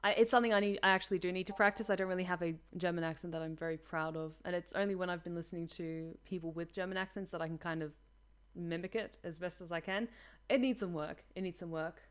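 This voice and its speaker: A woman's voice: fairly low pitch, steady tone, fast, clear, resonant tone. A woman streaming a game, chatting casually with her viewers, self-deprecating and talkative. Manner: chatty, self-deprecating, reads chat aloud.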